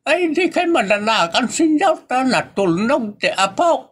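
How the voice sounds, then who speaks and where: A man voicing an excitable, whining old grandfather in a comedy audio drama, with an Ilocano Filipino accent. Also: very high-pitched, unhurried, breathy edge, heavily acted. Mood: agitated, whining.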